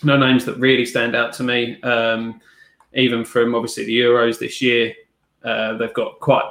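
A man's voice: medium pitch, completely flat delivery, normal speed, a bit bright. A man talking football casually as a podcast panellist, in an informal, colloquial way. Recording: roomy, echoing sound.

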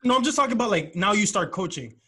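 A man hosting a casual podcast chat in a relaxed way, asking rambling questions and giving frequent small replies. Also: high voice, fast, slightly rough. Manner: relaxed, gives frequent mm-hmm and right. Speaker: podcast host.

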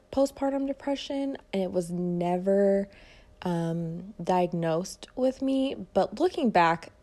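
Mellow low-voiced young woman. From Detroit, Michigan. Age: 20s. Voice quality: soothing voice. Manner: chatty, rambling, many fillers and restarts. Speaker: podcast host, fitness and mindset coach. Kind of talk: casual solo podcast monologue.

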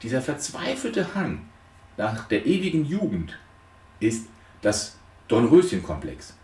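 A man giving an explanatory lecture with storytelling, speaking deliberately with dramatic emphasis. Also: medium pitch, huge pitch swings, a little mellow. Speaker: lecturer on psychotherapy. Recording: roomy echo, distant microphone.

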